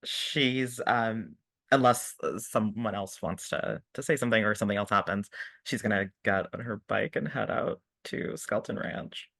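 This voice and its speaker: Lowish-voiced man at a tabletop game. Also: moderate pace, gravelly, fairly bright tone. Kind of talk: casual game talk.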